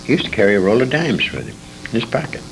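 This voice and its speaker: A man's voice: on the low side, sing-song, fast talker. An older man reminiscing in an interview, an emphatic and opinionated storyteller who speaks with feeling. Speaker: interview guest, former radio performer. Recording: noisy amateur convention interview tape.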